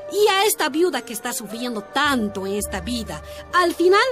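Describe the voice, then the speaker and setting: Fiery, defiant miner's wife. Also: female voice, on the high side, big pitch swings, normal speed, over music. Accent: Bolivian Spanish. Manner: passionate, argumentative, indignant. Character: defiant, outspoken working-class woman. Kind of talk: radio drama acting.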